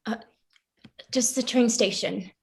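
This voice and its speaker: Hesitant, withdrawn actress as a higher-voiced young-sounding woman. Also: vocal fry. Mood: wary. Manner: halting, hesitant, filler-heavy. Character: hesitant, withdrawn woman walking away from a retreat. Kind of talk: naturalistic staged play reading.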